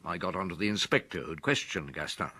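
A measured, expressive chief inspector. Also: male voice, low voice, slightly rough, exaggerated voice. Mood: calm, businesslike. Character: courteous, shrewd Parisian chief inspector, male. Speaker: actor in a radio drama. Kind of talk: radio drama acting.

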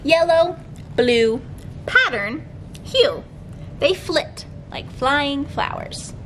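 Slow-paced young woman reading to children. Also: high-pitched, lively intonation, background noise. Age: young adult. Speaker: children's librarian leading storytime. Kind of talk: read-aloud picture book storytime for young children. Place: library room with bookshelves, indoors.

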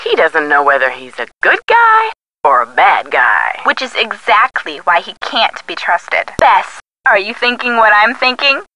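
A woman's voice: medium pitch, big pitch swings, unhurried, sharp tone, poor audio. An actress playing a detective's chatty, witty young female friend in a video game, with a playful, teasing manner. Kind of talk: scripted video game dialogue.

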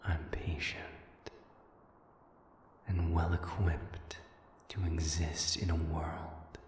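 A man playing a cold, patient otherworldly rebel leader in an audio drama, with a hushed, deliberate, menacing delivery. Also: a bass voice, little pitch movement, heavily acted. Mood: grave, determined. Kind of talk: dramatic audio roleplay acting. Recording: echoey hall reverb with noisy ambience.

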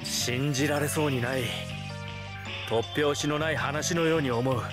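A man's voice: mid-range voice, fairly even intonation, normal speed, close to an everyday voice. An actor playing a reserved, sceptical young man in a Japanese anime game, plain-spoken and terse. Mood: reserved, puzzled. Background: game music.

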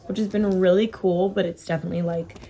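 Hoarse young woman chatting to camera. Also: fairly low pitch, fairly even intonation, fast talker, dark tone. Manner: chatty, says "like" constantly. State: hoarse, lost her voice. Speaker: vlogger. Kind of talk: casual vlog chat. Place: bedroom, indoors. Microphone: phone camera at arm's length.

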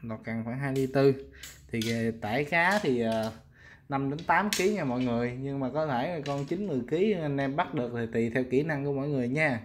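A man's voice: mid-range voice, brisk, a little hiss or hum. A man with a Southern Vietnamese accent casually showing goods for sale, talking informally to viewers as friends. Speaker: fishing rod seller presenting products. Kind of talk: casual product showcase.

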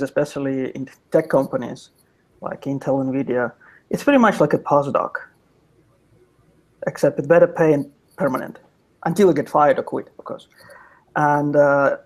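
A man's voice: on the high side, a little mellow. Thoughtful man on a video call. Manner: thoughtful, pragmatic, with frequent restarts. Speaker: panelist from industry. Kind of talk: panel answer over video call. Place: office with orange wall. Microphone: video call webcam.

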